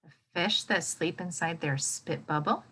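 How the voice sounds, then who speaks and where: A woman's voice: on the low side, pushed and bright, slightly rough. A woman teacher leading an interactive read-aloud lesson for young children, encouraging them with questions and speaking with exaggerated expressiveness. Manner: encouraging, prompting with questions and pausing for answers. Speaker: elementary school teacher.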